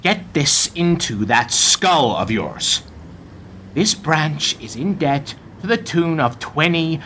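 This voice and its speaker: A man's voice: mid-range voice, a bit bright, slight background noise. A man playing a ruthless corporate bank executive in a radio play, hard, emphatic and condescending. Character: blunt, ruthless male corporate bank executive delivering bad news.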